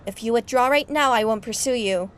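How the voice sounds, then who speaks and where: A woman's voice: fairly high pitch, brisk, a bit bright, lightly acted. A woman playing a proud, guarded young magic-wielding girl with clipped, imperious speech in an audio drama dub. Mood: cold, imperious.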